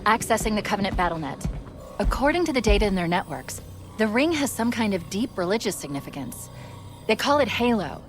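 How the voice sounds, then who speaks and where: A woman playing a poised, quick-witted military artificial intelligence in a sci-fi game cutscene, speaking in an articulate, analytical way. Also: mid-range voice, very animated, music in the background, lightly acted. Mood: focused, grave. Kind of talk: cinematic sci-fi game acting.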